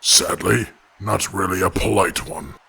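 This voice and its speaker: A man voicing a hulking raptor fighter, formal and courteous, with a deep gravelly voice in a measured delivery. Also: fairly even intonation, heavy background noise. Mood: respectful.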